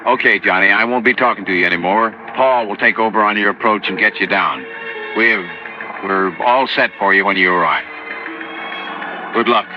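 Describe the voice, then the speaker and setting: Earnest American male ground controller played by an actor, lowish, deliberate, concerned, 1950s radio drama on dull vintage recording. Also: over music, close to an everyday voice. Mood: grave, concerned.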